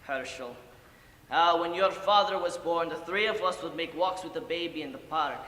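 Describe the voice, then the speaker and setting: An old grandfather, acted by a man, weighed down by guilt and drink, speaks in a high, bright voice. His is halting and broken, broken up by sighs. It is a stage monologue in a reverberant hall with some noise. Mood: wistful, tender. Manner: halting broken English with sighs and murmured asides. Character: old Ukrainian grandfather, boozy, guilt-ridden, confessing to grandson. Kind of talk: competitive dramatic monologue. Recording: echoey hall, distant, audible room noise.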